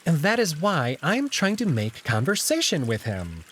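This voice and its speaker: A sly jackal noble, acted by a man, slightly high in pitch and very animated, needling with mock courtesy. It is a visual novel character voice over faint background noise and trickling water. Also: normal speed. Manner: smooth-talking, mock-polite, taunting.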